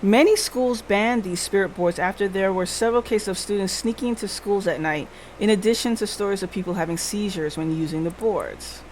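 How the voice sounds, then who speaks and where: A woman's voice: medium pitch, fairly quick, few pauses, darkish tone, slight background noise, low-quality recording. A woman streaming a live playthrough, reading visual novel text aloud. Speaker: game streamer. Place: live stream with faint background sound.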